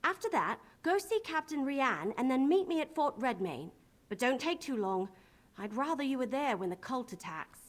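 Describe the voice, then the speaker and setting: Spunky young elf girl adventurer, video game, high bouncy voice, kinda wry. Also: big pitch swings, normal speed. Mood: plucky, wry. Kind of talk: video game character acting.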